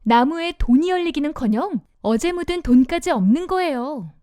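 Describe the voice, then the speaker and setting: A woman narrates a children's fairy tale in a high, animated voice. The delivery is scripted and expressive, in a close, dry recording. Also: moderate pace, no echo. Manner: expressive storytelling for young children. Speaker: storybook narrator.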